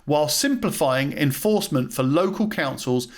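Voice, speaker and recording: A man reading a policy document aloud as scripted narration, in a formal and measured manner. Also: a little high, lively intonation. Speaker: reader, podcast host and landlord.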